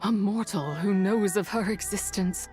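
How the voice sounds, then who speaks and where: Woman as a slow, menacing ancient spirit. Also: mid-range voice, darkish tone, faint music behind, lightly acted. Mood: grave, haunted. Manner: grave, imperious, archaic and deliberate. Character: ancient, menacing female spirit of impure energy, imprisoned and weary. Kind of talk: video game voice acting.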